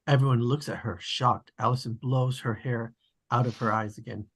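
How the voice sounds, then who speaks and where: A man's voice: mid-range voice, steady tone, moderate pace, fairly bright tone, husky. A man narrating, reading out stage directions at a script table read.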